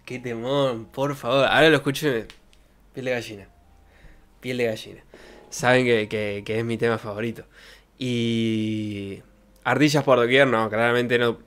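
A man's voice: medium pitch, fairly even intonation, normal speed, fairly bright tone. A young man with an Argentine accent, a streamer rambling in casual, jokey slang close to a desk microphone. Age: young adult. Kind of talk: casual livestream chat. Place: small bedroom streaming setup. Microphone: desk microphone with pop filter, close.

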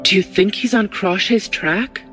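A man voicing an easily alarmed gentleman accomplice in an audiobook, nervous and questioning. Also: high-pitched, big pitch swings, measured pace, faint music behind, pitched above the performer's own voice.

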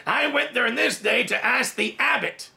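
A man in his forties, raised in Thailand, preaching a sermon in his study and telling a personal testimony with lively hand gestures, recorded on a camera at desk distance. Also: very high voice, normal speed, vocal fry. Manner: animated storytelling with big hand gestures. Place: home study with bookshelves, indoors.

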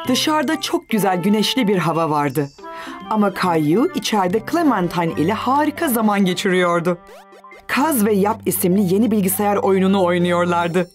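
Woman narrating a children's story. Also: mid-range voice.

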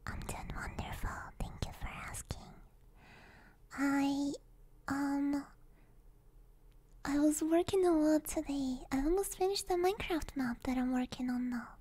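A young woman hosting an ASMR stream, chatting playfully in a soft, breathy, girlish voice that often slips into a whisper, close on a binaural microphone. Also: high voice, a little slow, long pauses. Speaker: ASMR livestream host. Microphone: binaural ear microphone.

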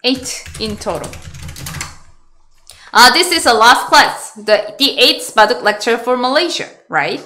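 A Korean woman in her thirties, a Go teacher answering chat questions on a webcam video call, chatty and halting in English with a Korean accent. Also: fairly high pitch, expressive, bright, forceful tone. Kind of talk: live online lecture Q&A. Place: small room indoors.

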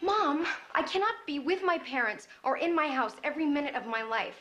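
Bright-voiced, pleading teenage daughter. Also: female voice, medium pitch, normal speed, lo-fi sound. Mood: pleading, frustrated. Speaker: actress. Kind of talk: naturalistic TV drama acting.

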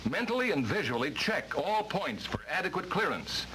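A man's voice: a little high, big pitch swings, normal speed, sharp tone, a little hiss or hum, poor audio. A man reading formal, precise instructional narration for a vintage training film, on a worn old soundtrack.

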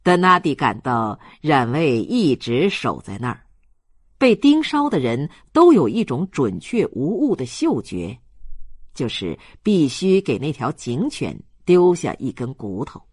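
Husky novel narrator, takes it slow, really swoopy expressive reading, dry close sound. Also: medium pitch, no echo. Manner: measured, expressive. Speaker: audiobook narrator. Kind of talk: scripted novel narration, slow dramatic storytelling.

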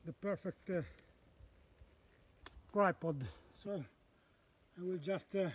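Slow, halting man by a campfire. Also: high voice, slightly rough. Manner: halting, many "uh" fillers, explaining as he works. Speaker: bushcraft channel host. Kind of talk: casual outdoor demonstration. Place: outdoors on a wooded hillside by a campfire. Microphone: camera on the ground a few meters off.

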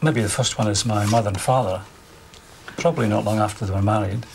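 An older man interviewed in a living room, recalling his father in a gentle, measured way. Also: fairly low pitch, gravelly, slight background noise. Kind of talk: casual recollection in an interview.

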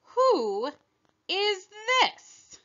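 A woman's voice: very high-pitched, huge pitch swings, very slow, fairly bright tone, pitched above the performer's own voice, full character voice. A woman voicing a severe, impatient queen in a storybook, haughty and commanding, on a slightly dull recording.

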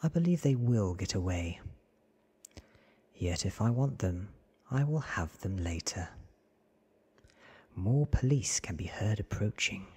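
A man in his thirties reading a story aloud in a hushed, deliberate ASMR whisper, close on the microphone. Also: fairly low pitch, expressive. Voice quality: breathy whisper. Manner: hushed, deliberate, short measured phrases. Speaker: narrator reading a novel aloud. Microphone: close studio microphone, seen.

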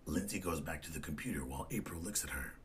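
Very deep-voiced male narrator. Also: fast talker, husky. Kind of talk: scripted narration of comic panels.

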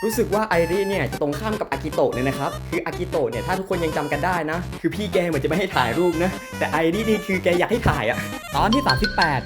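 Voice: medium pitch, animated, quick pace. A narrator and commentator for a cartoon fan dub, chatty and teasing, exclaiming and joking casually. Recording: music behind the voice.

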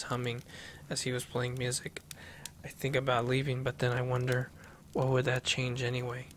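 A young man at his desk reads a horror game's notes aloud in a flat, level voice with little rise or fall. He stumbles now and then and restarts. A faint hiss sits under his headset mic. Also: medium pitch. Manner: even, steady reading with occasional restarts. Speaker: gameplay streamer. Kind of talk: reading game text aloud while playing. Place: small room at home.

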